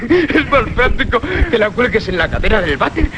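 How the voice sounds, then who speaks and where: An actress playing a mocking, boisterous young student in a radio drama, with a Castilian Spanish accent. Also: female voice, a little high, big pitch swings, normal speed, highs cut off, background noise. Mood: merry mockery. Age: young adult.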